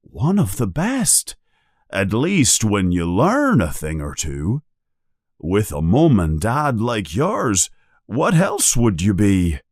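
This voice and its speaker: A man voicing a booming giant gamekeeper in a storybook, hot-tempered yet kindly, with an emphatic, exclaiming way of talking. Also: wildly swooping pitch, takes it slowly, a little mellow, dry recording, clean studio sound, exaggerated voice. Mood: proud, warm.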